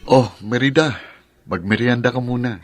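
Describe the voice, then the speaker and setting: A man's voice: medium pitch, moderate pace, faint music behind, lightly acted. A man playing a bossy, teasing big-brotherly young man in a radio drama, casual and chiding, with a Filipino accent. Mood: casual, sarcastic.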